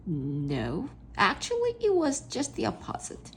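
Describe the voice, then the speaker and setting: A woman's voice: mid-range voice, huge pitch swings, unhurried, a little hiss or hum. A woman voicing an easygoing, kindly male school principal in a children's storybook, patient and chatty as she explains. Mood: frank, confiding.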